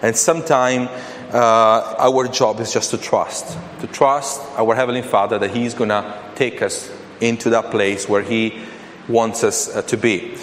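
A man's voice: mid-range voice, fairly even intonation, a little slow, fairly bright tone. Italian-accented man preaching, hesitant. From Italy. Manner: hesitant, many fillers and restarts. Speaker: guest preacher. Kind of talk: sermon. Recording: reverberant hall with faint ambient noise.

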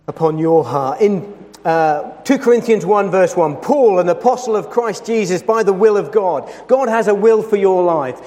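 A man preaching a sermon in a church, with a loud voice and an earnest, emphatic delivery that slows down over scripture. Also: high-pitched. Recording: long hall reverb.

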